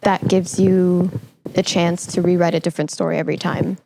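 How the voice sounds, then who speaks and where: A woman's voice: fairly low pitch, flat delivery, brisk, creaky, warm tone, slight background noise, rough recording. A woman hosting a podcast, talking in a conversational, reflective way as she interviews a guest and shares her views.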